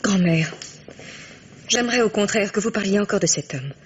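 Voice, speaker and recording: A woman's voice: medium pitch, expressive, moderate pace, highs cut off, a little hiss or hum, lightly acted. A young woman playing an earnest, emphatic daughter of twenty-two in a French radio drama. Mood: indignant. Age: young adult.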